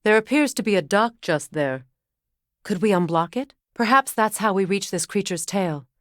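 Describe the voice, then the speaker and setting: An actress voicing a stern, wise warrior goddess in a video game, speaking with measured, formal diction. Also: female voice, mid-range voice, lively intonation, dry recording, studio quality, lightly acted. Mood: composed, observant. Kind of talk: dramatic video game voice acting.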